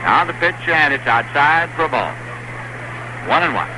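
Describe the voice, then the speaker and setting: 1960s radio baseball announcer, man. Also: on the high side. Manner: measured, steady play-by-play calling. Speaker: play-by-play announcer. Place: stadium broadcast booth. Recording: 1960s AM radio broadcast with stadium crowd noise. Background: crowd murmur.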